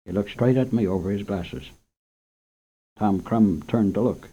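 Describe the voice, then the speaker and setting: A man reading a short story aloud in an expressive, unhurried way as the storyteller of a children's radio program. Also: on the low side, breathy edge. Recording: muffled old radio recording.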